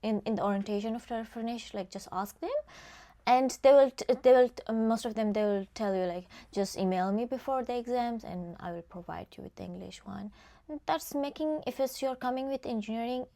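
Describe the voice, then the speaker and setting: A young woman hosting a casual conversation, speaking haltingly with many restarts and "like". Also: fairly high pitch, dark tone. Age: young adult. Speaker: host.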